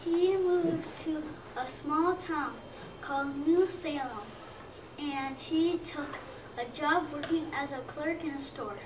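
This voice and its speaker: A young child of about seven or eight, a second grader, reading a scripted narration aloud in a careful, halting way. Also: high voice. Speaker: second-grade student reader. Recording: roomy, distant mic, noisy background.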